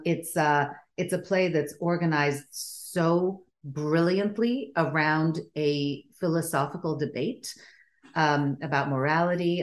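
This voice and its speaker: A woman with a low voice talks about her writing in an unhurried interview. She grew up in Sweden and Bulgarian was her first language. She is thoughtful and circles back with fillers and restarts. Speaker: playwright and adapter. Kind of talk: casual interview conversation.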